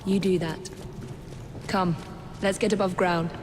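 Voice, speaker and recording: A woman's voice: lowish voice, fairly even intonation, a little slow, close to an everyday voice. A woman playing a poised, dryly witty young English assassin of Victorian times in a game cutscene. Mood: wry, teasing. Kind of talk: video game cutscene acting. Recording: loud music behind, long reverb.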